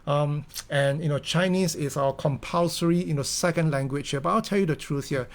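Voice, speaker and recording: Singaporean guy, middle-aged, earnest motivational speaker, lots of you know and restarts, fairly high voice. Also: fairly quick. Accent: Singaporean English. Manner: earnest, many fillers and restarts. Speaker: company founder giving a talk. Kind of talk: motivational talk.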